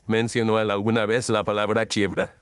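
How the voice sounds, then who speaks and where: A man playing a calm, incisive detective in an audio drama, speaking in a measured, analytical way. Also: on the low side, clear, resonant tone, dry recording, studio quality, lightly acted. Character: calm, incisive male detective, precise reasoner.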